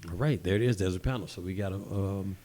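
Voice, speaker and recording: Low-voiced male podcast host. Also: a little fast, dark tone. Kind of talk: hosting a panel discussion.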